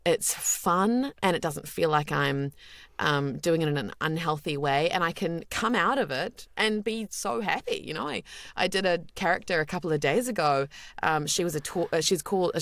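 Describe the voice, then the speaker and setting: A woman with a deep voice, a voice actor being interviewed as a guest, talking candidly and reflectively, with many fillers and restarts. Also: a bit bright, dry recording, clean studio sound. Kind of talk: podcast interview.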